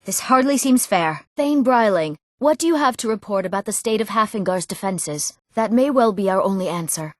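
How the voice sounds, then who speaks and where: A woman's voice: mid-range voice, animated, moderate pace, hardly any pauses, dry recording, lightly acted. Casting: female actor for an earnest, eager young woman of a fantasy game realm; mid-range voice, theatrical diction, studio-dry. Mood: formal, resolute. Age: young adult. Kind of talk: scripted fantasy game dialogue.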